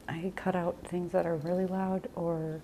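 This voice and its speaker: A woman hosting an ASMR chat in a soft half-whisper, sounding stuffy from a cold. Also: fairly low pitch, level tone, unhurried, slight background noise. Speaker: ASMR channel host.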